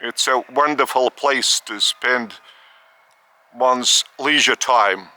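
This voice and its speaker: Slow deliberate man. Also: medium pitch, pushed and bright. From Lithuania. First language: Lithuanian. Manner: slow, deliberate, speaks in short halting phrases. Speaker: spiritual teacher. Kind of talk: outdoor spiritual talk to camera. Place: outdoors in the park of an old estate.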